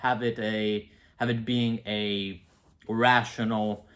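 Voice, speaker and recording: A man's voice: fairly low pitch, little pitch movement, takes it slowly, fairly bright tone. A young man with a Romanian accent, talking casually to camera about philosophy, rambling and self-mocking with frequent asides. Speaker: video creator.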